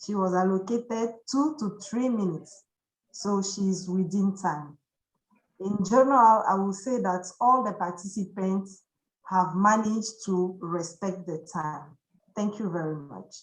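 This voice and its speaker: A woman reading out a timing report over a video call, slow and measured. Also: medium pitch, echoey room, poor audio. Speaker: meeting timer.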